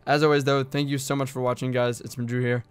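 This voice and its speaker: Hoarse man explaining game strategy. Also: medium pitch, flat delivery, fast talker. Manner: conversational, thinking aloud with hedges. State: hoarse, voice cracking. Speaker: gaming video creator.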